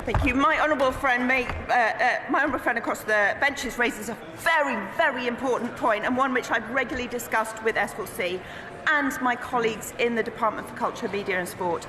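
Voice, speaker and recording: Welsh woman minister in an echoing chamber. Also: fairly high pitch. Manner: measured, formal parliamentary delivery. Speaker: government minister. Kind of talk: parliamentary answer. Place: large debating chamber. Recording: echoey chamber with murmuring crowd.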